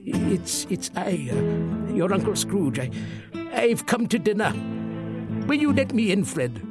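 A man's voice: sits high, very animated, measured pace, over loud music, pitched above the performer's own voice. A man voicing an elderly former miser who is now giddy and jovial, stammering and breathless. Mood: warm, eager.

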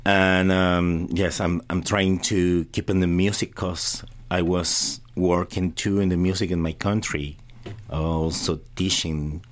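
Deep-voiced Chilean man, halting English. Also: fairly even intonation. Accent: Chilean Spanish. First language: Spanish. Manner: halting, repeats words, friendly laughs. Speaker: musician guest. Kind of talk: casual radio interview.

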